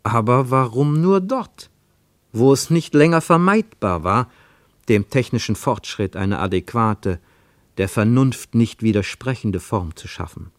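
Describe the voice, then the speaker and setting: A man narrating a literary radio drama as a reflective observer, deliberate and given to rhetorical questions. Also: mid-range voice, lively intonation, dry recording, close to an everyday voice. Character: male narrator of a literary travel report, probing and reflective.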